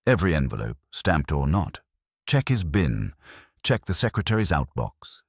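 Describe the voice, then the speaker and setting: A man voicing a pensive, methodical English police inspector in an audio detective story, measured and deliberate. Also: extremely low pitch, sing-song, vocal fry, breathy edge, no room sound, clean studio sound, exaggerated voice. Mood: firm, instructing.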